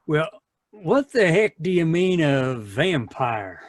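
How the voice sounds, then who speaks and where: A man acts out a skeptical small-town sheriff for an old-time radio play. His voice sits fairly high, and his folksy questions come slowly in a dry recording. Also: sing-song, no room sound.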